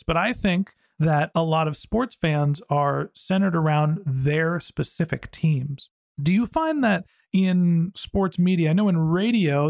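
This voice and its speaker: A man's voice: fairly high pitch, vocal fry, darkish tone, no echo, clean studio sound. A man with an American accent hosting a podcast interview in a conversational way. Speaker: podcast host.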